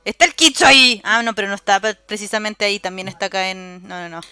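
A woman's voice: medium pitch, quick pace, sharp tone, smooth. A Chilean woman hosting a casual voice chat on her stream. She is chatty, uses a lot of slang and laughs often. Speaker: streamer host.

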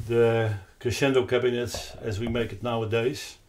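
An older man with a Dutch accent explains a product off the cuff, haltingly searching for words. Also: mid-range voice, fairly even intonation, darkish tone. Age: 60s or older. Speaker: loudspeaker designer presenting his product. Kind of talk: unscripted explanatory product talk. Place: carpeted, wood-panelled listening room indoors.

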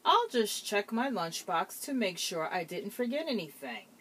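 A woman voicing a forgetful, fretful rhinoceros in a children's storybook, with deliberate, expressive delivery. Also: mid-range voice, breathy edge. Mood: content. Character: forgetful, fretful rhinoceros searching for his lunch.

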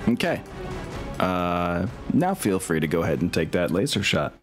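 A man's voice: sits low, sing-song, moderate pace, music in the background. A man acting as game master, casually running an online tabletop game.

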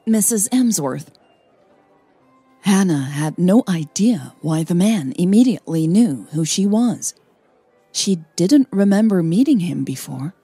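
Slow, mellow woman narrating fiction. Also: lowish voice, breathy edge, no room sound. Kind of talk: scripted audio fiction narration.

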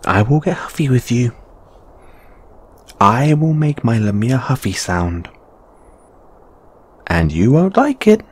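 A man voicing a huffy, easily flustered male lamia flatmate in an intimate audio roleplay, halting and defensive. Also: on the low side, breathy edge, exaggerated voice. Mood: grumpy. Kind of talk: intimate one-sided audio roleplay acting.

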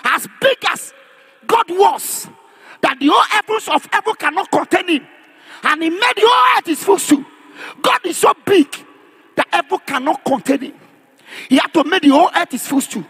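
A man preaching in an emphatic, declamatory charismatic sermon style, often rising to a shout and repeating phrases for emphasis. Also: very high voice, animated, normal speed. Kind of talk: charismatic sermon with call and response.

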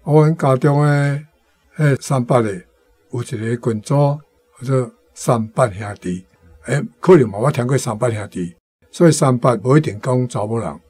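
A man's voice: medium pitch, moderate pace, darkish tone, husky. A man with a Taiwanese accent, a guest teacher giving explanatory commentary on expressions.

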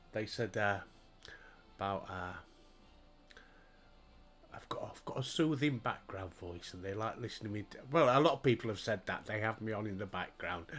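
Slow-talking older Yorkshire man. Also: medium pitch, fairly bright tone. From Yorkshire, England. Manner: chatty, self-deprecating, talks to himself while playing. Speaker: gaming streamer. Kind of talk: relaxed gameplay commentary. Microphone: headset mic.

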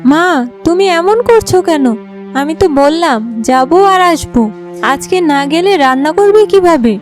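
A woman's voice: very high voice, lively intonation, moderate pace, soft background music. A woman voicing a dutiful, reassuring young village daughter in a cartoon fairy tale. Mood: insistent.

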